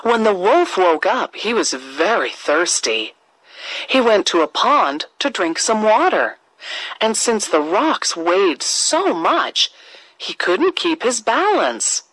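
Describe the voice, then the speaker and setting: A woman narrating a scripted children's fairy tale with deliberate, expressive phrasing for young listeners. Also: medium pitch, dry recording, rough recording. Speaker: storyteller.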